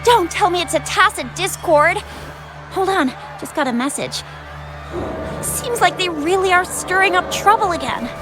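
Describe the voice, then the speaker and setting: An eager young female patrol officer from a video game, with a very high voice. She is friendly, dutiful and brisk, and talks expressively over clear music. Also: animated. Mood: alarmed, apologetic.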